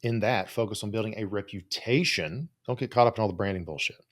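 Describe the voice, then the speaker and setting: Low-pitched, blunt, sweary man. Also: fast, a bit bright. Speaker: host, psychologist and business coach for creators. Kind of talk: informal solo advice talk.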